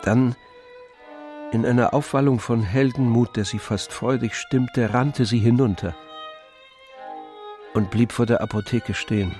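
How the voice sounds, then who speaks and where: A man narrating a literary radio play from a script in a measured, solemn manner. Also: medium pitch, steady tone, darkish tone, faint music behind.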